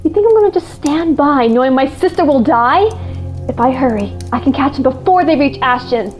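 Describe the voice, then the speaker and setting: A woman's voice: sits high, expressive, a little fast, a little mellow. A woman acting a stubborn, impulsive, fiercely loyal young half-elf in a fantasy radio play, earnest and full of questions. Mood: defiant. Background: music under the voice.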